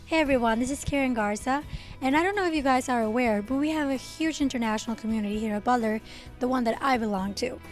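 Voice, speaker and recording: High-voiced woman presenting a segment. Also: fast, soft background music. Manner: friendly, direct address. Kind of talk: scripted intro.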